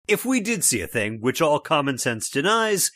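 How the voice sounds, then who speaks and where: A man's voice: a little high, sing-song, normal speed, pushed and bright, no room sound. An actor playing a stubborn, skeptical middle-aged father in a radio horror drama, scornful and dismissive. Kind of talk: old-time radio drama acting.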